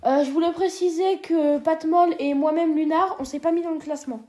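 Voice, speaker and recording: A woman's voice: extremely high pitch, fairly even intonation, brisk, warm tone, faint music behind. A woman co-hosting a casual ranking video, teasing and joking with lots of hesitant fillers. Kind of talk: casual scripted chat.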